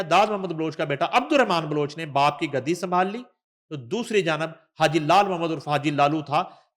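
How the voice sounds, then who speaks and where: Higher-voiced Pakistani man giving news commentary. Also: fairly bright tone. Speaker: news show host. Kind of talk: news commentary, reading an article aloud with asides.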